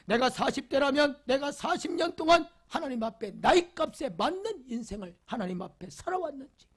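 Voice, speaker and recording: A male pastor preaching a Sunday sermon, leading the congregation in reading and repeating rhetorical questions, swinging from loud emphasis to near whispers. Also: extremely high pitch, lively intonation, moderate pace. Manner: leads the congregation in call-and-response reading.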